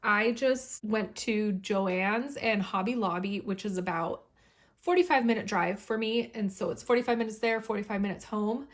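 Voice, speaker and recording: A woman with a slightly hoarse voice chatting enthusiastically as she shows her planner on camera, laughing now and then. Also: medium pitch, normal speed. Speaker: planner YouTuber. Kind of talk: casual planner flip-through.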